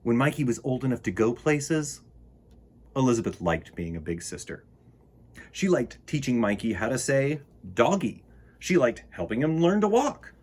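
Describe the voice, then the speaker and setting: Animated male storytime reader, slightly husky. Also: mid-range voice, normal speed. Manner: playful, theatrical emphasis. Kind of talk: animated picture book read-aloud with casual asides.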